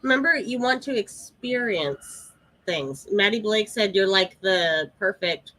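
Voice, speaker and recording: A woman's voice: medium pitch, lively intonation, a little slow, bright, forceful tone, no room sound. A woman co-hosting a casual live podcast chat, congested with sniffles, teasing her co-host and reading out comments.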